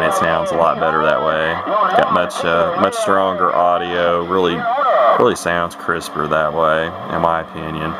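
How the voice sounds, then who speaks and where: A man giving a casual, unscripted equipment walkthrough in a halting, explanatory way. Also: low-pitched. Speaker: radio hobbyist demonstrating equipment. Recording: heavy radio static and background noise.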